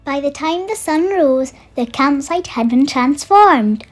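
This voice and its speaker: High-pitched storyteller reading for children over music. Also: a little slow. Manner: expressive storytelling for young children. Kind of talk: read-aloud bedtime story narration. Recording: music under the voice.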